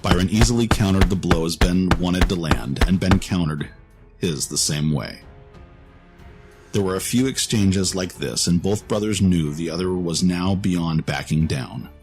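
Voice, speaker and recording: Very low-voiced man narrating fantasy over music. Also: measured pace. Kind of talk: scripted fantasy story narration.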